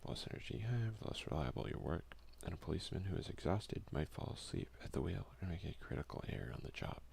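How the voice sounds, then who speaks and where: A young man doing a soft-spoken ASMR let's play, often slipping into whispers as he comments and reads out game text close to the microphone. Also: sits low, very animated, fast talker, creaky. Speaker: gaming video creator. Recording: faint noise behind a home recording.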